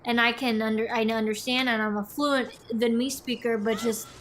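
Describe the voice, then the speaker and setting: A woman's voice: fairly high pitch, steady tone, a little fast, slight background noise. A young woman co-hosting a gaming show, telling a long story in a casual, meandering way with many restarts. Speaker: co-host of a gaming channel. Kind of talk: casual chat over gameplay.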